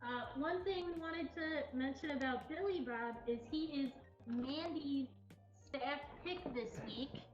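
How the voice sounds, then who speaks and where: Woman, livestream host, high voice, casual and giggly delivery. Slightly muffled cafe recording, faint background music. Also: a little slow. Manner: giggly, often chuckling. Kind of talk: casual live presentation and chat. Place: indoor cat cafe lounge.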